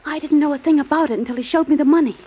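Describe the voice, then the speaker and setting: An actress playing a scheming young woman begging her lover in a 1940s radio drama, pleading and breathy. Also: high-pitched, rapid-fire, close to an everyday voice. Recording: dull old radio recording.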